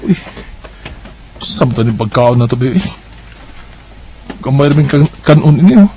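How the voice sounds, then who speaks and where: A man's voice: mid-range voice, lively intonation, normal speed, dark tone, a little hiss or hum, close to an everyday voice. A man with a Bisaya accent playing a weary, plain-spoken young labourer in an amateur radio drama. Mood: weary, complaining.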